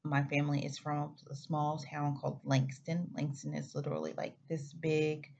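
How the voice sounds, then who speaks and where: Woman, 30s, from Oklahoma. Low, gravelly voice. Chatty vlog delivery with self-corrections. Slightly dull recording. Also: moderate pace, a little mellow. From Oklahoma, United States. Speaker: flight attendant vlogger. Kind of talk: casual chat to camera. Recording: slightly muffled with faint background hiss.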